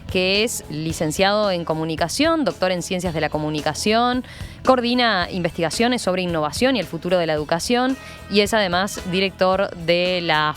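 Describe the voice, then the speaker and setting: A woman with a Uruguayan accent hosting a conversational radio interview from a studio. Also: mid-range voice. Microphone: studio microphone.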